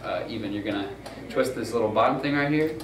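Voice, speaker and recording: A man's voice: on the low side, fast talker. A young man giving a casual how-to demonstration on camera setup, talking loosely with lots of fillers. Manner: loose and informal, many fillers and "gonna go ahead". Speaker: student crew tutorial presenter. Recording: roomy, far from mic, noisy.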